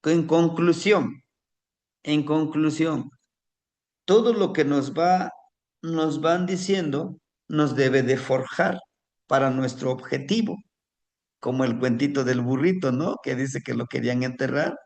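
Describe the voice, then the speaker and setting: A man from Mexico, a native Nahuatl speaker with a Mexican Spanish accent, telling a personal story to a class in a slow, reflective way. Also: on the high side. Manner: slow, reflective, warmly addresses listeners as family.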